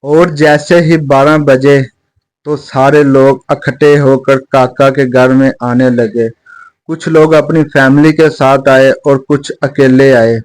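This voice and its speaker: A man's voice: medium pitch, no room sound, poor audio. A man narrating a scripted moral story as a storyteller.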